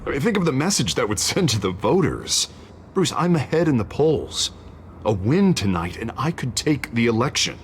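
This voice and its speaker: A man's voice: medium pitch, big pitch swings, normal speed, a little hiss or hum, natural voice. An actor playing an anxious, pleading male politician in a dramatic video game scene. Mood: urgent, pleading. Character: anxious, pleading male district attorney running for mayor. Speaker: politician character.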